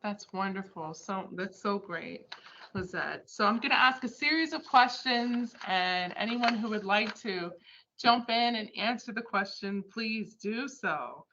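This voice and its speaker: A woman's voice: mid-range voice, animated, a little slow, sharp tone. A woman moderating a panel discussion in a friendly, encouraging way. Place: on a video call. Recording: muffled video call with faint hiss.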